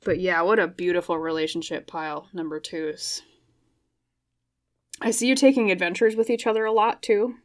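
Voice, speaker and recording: Slightly hoarse woman doing a rambling tarot reading. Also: fairly low pitch, fairly even intonation, moderate pace. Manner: rambling and reflective, tag questions like "okay?". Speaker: tarot reader. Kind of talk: intuitive pick-a-card tarot reading. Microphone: microphone.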